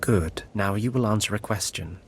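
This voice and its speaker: A low-voiced alien lord of beasts, played by a male actor, grave and threatening. He talks in stiff, formal phrases like a dark master in a fantasy video game, over faint background noise. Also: moderate pace, lightly acted. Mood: stern, threatening. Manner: formal, menacing, stilted.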